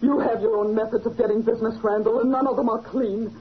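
An actress playing an indignant, defiant wife with a firm, accusing manner, in old radio melodrama style. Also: female voice, fairly high pitch, fairly even intonation, fast talker, lightly acted. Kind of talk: 1940s radio melodrama acting. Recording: dull, noisy old radio recording.

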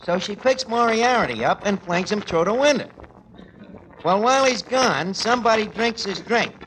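Through the noise of an old radio comedy comes a high-voiced, streetwise tavern manager. He has a New York accent and very animated intonation, talks at length in bad grammar and mangles fancy words. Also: normal speed. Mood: indignant storytelling. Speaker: comic lead of a radio comedy. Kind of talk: 1940s radio comedy acting. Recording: noisy old 1940s radio recording.

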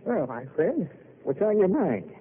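An actor playing a stubborn old grandfather and former pioneer, gruff and blunt, in a radio drama. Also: high voice, very animated, unhurried, background noise. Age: older. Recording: tinny old radio broadcast.

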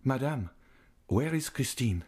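A man's voice: medium pitch, animated, unhurried, darkish tone, slightly rough, pitched above the performer's own voice. A man voicing a confused, lovesick young nobleman who speaks in a hesitant, subdued way. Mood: anxious.

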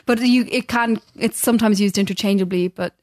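A woman's voice: mid-range voice, brisk, a little mellow, dry recording. Irish woman, mid-range voice, thoughtful, hesitant explainer, dry sound. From Ireland. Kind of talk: casual chat.

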